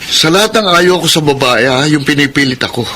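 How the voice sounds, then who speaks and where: A man's voice: fairly high pitch, a little fast, natural voice. A man playing an irresponsible young womanizer in a Filipino radio drama, flippant and defensive, with a Filipino accent. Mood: irritated. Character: cocky, irresponsible young womanizer son, evasive with family. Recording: noisy radio drama recording.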